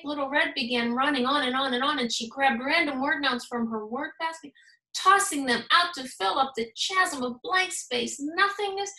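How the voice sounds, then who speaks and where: American woman, bright higher voice, expressive children's read-aloud, emphatic storytelling, roomy echo. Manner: animated, emphatic storytelling with dramatic gasps. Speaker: school principal reading aloud to children. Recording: roomy, echoing sound.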